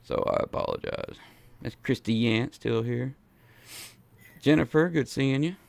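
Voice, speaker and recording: A man in his forties hosting an interview over a video call from a home studio, hoarse from a cold and talking in a laid-back, slangy, earnest way. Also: medium pitch, a little slow, darkish tone, no room sound. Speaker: host. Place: home studio with foam panels. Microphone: studio mic in frame.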